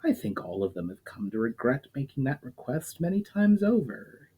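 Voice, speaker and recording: A man's voice: mid-range voice, big pitch swings, moderate pace, mellow, slightly rough, pitched above the performer's own voice. A man reading a story aloud, voicing an ancient, scornful immortal demon who lectures coldly and derisively.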